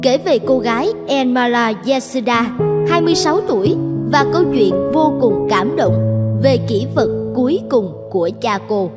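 A woman's voice: a little high, expressive, moderate pace. A woman reading scripted story narration as a narrator. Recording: loud music under the voice. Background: loud music.

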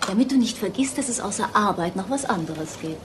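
An actress playing a breezy, sociable friend, playful and chatty, in a radio crime drama. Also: female voice, mid-range voice, expressive, fairly quick, natural voice. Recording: clearly noisy recording.

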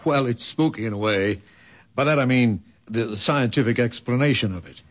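A man's voice: medium pitch, moderate pace, lightly acted. Reasonable young fiancé played by a man, educated, mid-range voice, old-time radio mystery. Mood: calm, explanatory. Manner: reasonable, explanatory. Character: calm, educated young fiancé explaining patiently.

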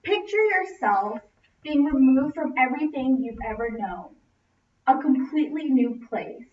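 High-voiced young American woman, roomy distant recording. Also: animated, measured pace. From Los Angeles, United States. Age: young adult. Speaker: student speaker. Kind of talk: prepared narrative speech. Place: classroom, indoors. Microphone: distant camera microphone. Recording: roomy, distant, muffled, noisy camera audio.

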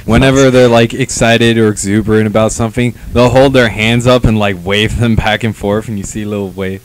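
A young man with a slightly low voice co-hosts in relaxed everyday slang. He chats informally into a handheld microphone. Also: a little fast, clean tone, poor audio. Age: young adult. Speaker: comic review show co-host. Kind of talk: casual chat.

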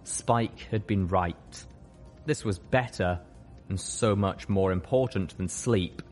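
A man's voice: low voice, takes it slowly, darkish tone, faint music behind. A man reading a scripted first-person story aloud as an audiobook narrator. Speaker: audiobook reader.

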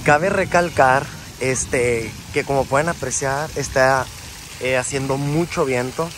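Relaxed young man vlogging outdoors. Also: medium pitch, unhurried. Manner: chatty, relaxed, addresses viewers as friends. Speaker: travel vlogger. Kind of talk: casual travel vlog narration. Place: outdoors at a beach resort. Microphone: phone camera at arm's length. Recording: wind and sea noise outdoors.

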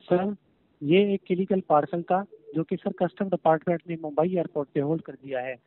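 A man's voice: fairly high pitch, normal speed. A man speaking as a scripted call-centre agent on a phone call, formal and repetitive, constantly addressing the listener as sir. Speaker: phone scam caller posing as courier agent. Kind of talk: scripted call-centre customer service talk. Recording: tinny narrowband phone line.

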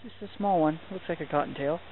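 A young man with a fairly high voice talks through a night hike, excited and chatty as he spots animals. The sound is thin and tinny, like a cheap handheld camera mic. A faint hiss sits under the whole outdoor recording. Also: fast. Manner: excited, chatty, mild minced oaths. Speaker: hiking vlogger. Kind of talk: hushed running commentary while filming.

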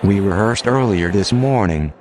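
A man's voice: on the low side, expressive, moderate pace, background noise, close to an everyday voice. A man playing a blunt, scheming musician in a comedy audio drama, with casual, sweary, sarcastic banter. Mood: nervous. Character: blunt, scheming male musician in comeback band.

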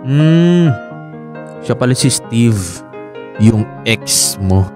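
A protective young Filipino husband in a radio drama, with a mid-pitched voice. Music runs clearly behind his lines. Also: animated, drawn-out words. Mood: pointed. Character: possessive, jealous young husband, firm and protective.

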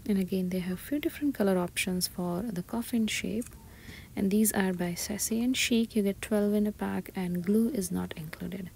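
Soft creaky half-whispering woman vlogger. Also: medium pitch, moderate pace. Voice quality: breathy, often half-whispered. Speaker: shopping vlogger. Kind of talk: soft ASMR-style shop-with-me commentary. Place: inside a discount store. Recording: store ambience noise behind soft voice.

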